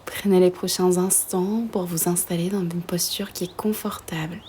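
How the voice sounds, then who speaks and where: A woman guiding a yoga nidra meditation in a soft, breathy, half-whispered voice, soothing and gentle, with long pauses between phrases. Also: lowish voice, fairly even intonation, a little hiss or hum.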